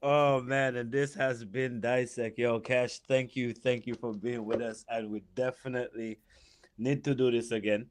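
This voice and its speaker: A man hosting a podcast interview who laughs often and talks in an eager, expressive way. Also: medium pitch, moderate pace, slight background noise. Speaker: podcast host. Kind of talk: casual interview.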